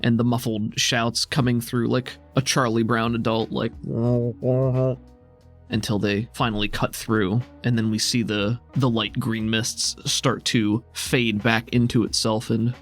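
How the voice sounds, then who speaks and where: A man's voice: medium pitch, level tone, measured pace, a bit bright, faint music behind. A man acting as game master on a tabletop roleplaying podcast, narrating scenes descriptively and guiding the players.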